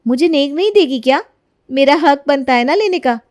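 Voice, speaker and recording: A woman's voice: high voice, animated, moderate pace, darkish tone, voice pushed up. A woman voicing an eager young newlywed daughter-in-law, sweet and coaxing, in an acted storybook tale. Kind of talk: acted Hindi storybook narration.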